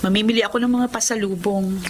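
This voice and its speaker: A woman's voice: mid-range voice, steady tone, fast, a little hiss or hum, natural voice. A Filipino actress playing a resentful working mother and eldest daughter in a Tagalog radio drama. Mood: matter-of-fact.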